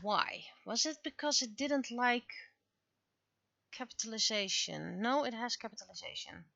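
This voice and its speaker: A woman livestreaming casually while she works, muttering to herself and questioning things aloud in an expressive way. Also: on the high side, moderate pace, vocal fry, no echo, highs cut off. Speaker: livestreamer, webcomic and visual novel creator.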